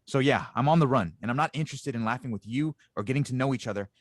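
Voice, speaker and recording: A man's voice: medium pitch, fast talker, no room sound, studio quality, natural voice. A man playing a guarded, defiant young fugitive inventor, terse and evasive, in an audio drama table read.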